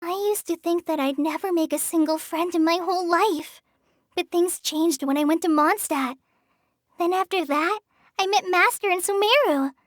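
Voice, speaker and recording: A woman's voice: very high voice, normal speed, no echo, studio quality. Shy young ranger girl. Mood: gentle. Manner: hesitant, apologetic, sighing. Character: shy young female forest ranger apprentice. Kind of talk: game character voice lines. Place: studio booth.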